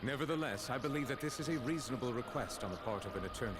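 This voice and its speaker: Man playing a grave, measured judge over loud music. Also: medium pitch, animated, natural voice. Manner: measured, formal, authoritative. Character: grave, formal, impartial male chief judge. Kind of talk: video game voice acting. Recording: loud game music behind.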